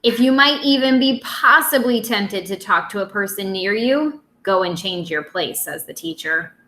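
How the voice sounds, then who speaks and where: A woman teacher reading a picture book aloud to young children in an expressive, simple way. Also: medium pitch, moderate pace, echoey room.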